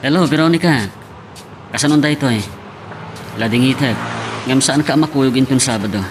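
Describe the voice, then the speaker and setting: A man's voice: medium pitch, a little fast, background noise, natural voice. A man playing a mild, evasive young boyfriend in an Ilocano radio drama, speaking hesitantly with a Filipino accent.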